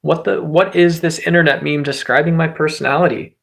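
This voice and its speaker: A man talking to his livestream viewers at a desktop mic, loose and joking, with some swearing. His voice sits a touch high, with a gravelly fry under it. He rambles from one thought to the next and stops to greet people in chat by name. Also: steady tone, fairly quick, fairly bright tone. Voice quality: says his voice is high and squeaky at times. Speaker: livestream host.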